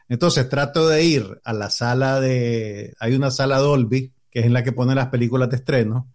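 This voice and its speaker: A man's voice: mid-range voice, darkish tone. An older man, chatting casually as a podcast co-host and explaining his points at length with many restarts. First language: Spanish. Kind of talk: casual chat.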